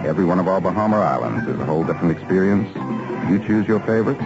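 A man's voice: sits low, lively intonation, rapid-fire. A man speaking as an airline captain in a scripted radio advertisement. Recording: muffled radio ad, loud music.

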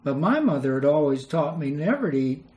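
A man's voice: fairly high pitch, sing-song, a little fast, darkish tone. An older man, a retired missionary, telling rambling anecdotes in an interview and chuckling as he goes. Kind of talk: storytelling interview.

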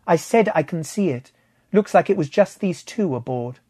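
A man voicing a practical, watchful female spaceship companion in an audiobook, brisk and matter-of-fact. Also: fairly high pitch, expressive, dark tone, pitched above the performer's own voice.

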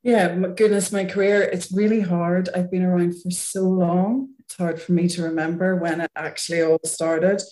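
A woman's voice: fairly low pitch, little pitch movement, moderate pace, few pauses, dark tone. Northern Irish woman in an echoey room. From Northern Ireland. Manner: thoughtful, rambling with restarts and fillers. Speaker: podcast guest, arts chief executive. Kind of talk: casual conversational interview. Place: home, recorded remotely. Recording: echoey home room over remote call.

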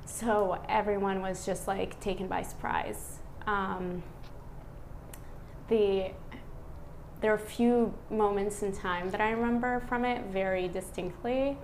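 A woman in her thirties from Iraq, a physician speaking as a podcast guest. She talks in a relaxed, reflective way, with many "um" and "like" fillers. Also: medium pitch, slow pace, slight background noise, poor audio. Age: 30s. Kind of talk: casual conversational interview.

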